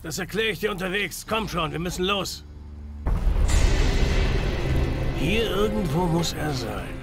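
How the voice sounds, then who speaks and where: A man's voice: high-pitched, animated, moderate pace. A man playing a businesslike game henchman on errands, curt and urging. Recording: music clearly under dialogue.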